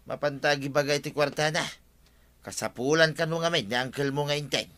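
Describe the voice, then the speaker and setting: A man's voice: on the high side, a little fast, fairly bright tone, close to an everyday voice. An actor with an Ilocano accent playing a chummy village sidekick who soothes and advises his friend in a radio comedy drama. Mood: helpful. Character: village buddy, soothing sidekick of a troubled captain.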